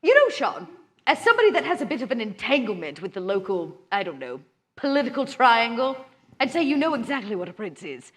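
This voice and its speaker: A woman acts out a poised vampire of a hundred years in a live roleplaying game. Her pitch is a little high and moves about freely. She bargains with playful, teasing self-assurance. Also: very animated, fairly quick, fairly bright tone. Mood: pointed. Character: poised, playful hundred-year-old female vampire negotiator. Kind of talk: improvised tabletop roleplay before a live audience.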